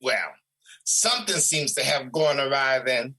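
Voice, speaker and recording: A man playing Resentment as a personified emotion in an audio drama, scheming and manipulative, with a husky, insinuating, theatrical delivery. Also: mid-range voice, lively intonation, measured pace, sharp tone, exaggerated voice, poor audio. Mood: needling.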